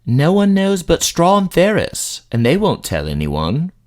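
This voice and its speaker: A man voicing a young female dark elf queen, earnest, hesitant and girlish, in a fantasy audio drama. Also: on the high side, huge pitch swings, a little slow, voice pushed up. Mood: earnest reassurance.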